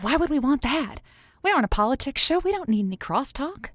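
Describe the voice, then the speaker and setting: A woman's voice: sits high, very animated, quick pace, noisy background. An actress playing an evasive, playful female late-night radio love advice host in an audio drama, with a theatrical, musing delivery. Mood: flustered, deflecting.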